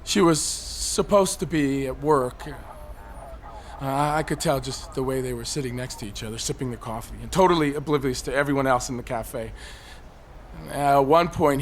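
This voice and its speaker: An actor playing a bitter, unhinged killer in an audio drama, brooding and sardonic in a theatrical way. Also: male voice, mid-range voice, a little hiss or hum, close to an everyday voice. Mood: brooding, wounded. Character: bitter, unhinged male killer taunting a policeman from a ledge. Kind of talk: modern audio drama acting.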